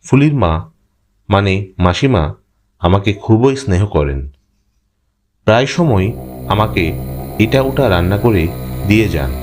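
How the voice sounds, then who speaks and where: Male horror-cartoon narrator: lowish pitch, dramatic scripted read, clear music bed. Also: lively intonation, takes it slowly, pauses often. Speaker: narrator of a horror story, in first person.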